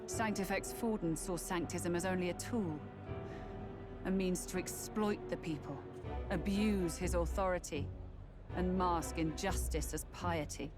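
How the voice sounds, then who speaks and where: A woman playing a serene, cold church heiress in a fantasy game, with a measured, lofty, theatrical delivery. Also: on the low side, music in the background, natural voice. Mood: solemn, lofty. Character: serene, cold church heiress, a self-appointed saintly villainess. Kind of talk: dramatic fantasy game acting.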